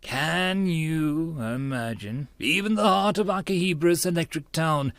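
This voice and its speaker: A man's voice: on the high side, a little slow, a bit bright, dry recording, voice pushed up. A man voicing a grandiose young mad scientist from a visual novel, theatrical, pompous and condescending. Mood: lecturing.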